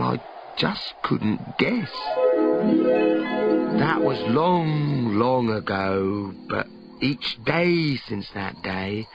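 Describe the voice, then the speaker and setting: A man's voice: lowish voice, gravelly, highs cut off, pitched below the performer's own voice. A man voicing an old, regretful and greedy industrialist in a theatrical, emphatic storybook reading. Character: regretful, greedy old male industrialist telling his tale.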